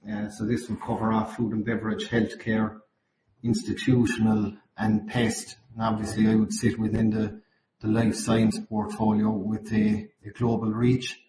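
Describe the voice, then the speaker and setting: An Irish man with a slightly croaky voice, presenting at a webinar over a video call. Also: on the low side, flat delivery, moderate pace, warm tone. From Ireland. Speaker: account manager presenting at a webinar. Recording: roomy, noisy webinar call audio.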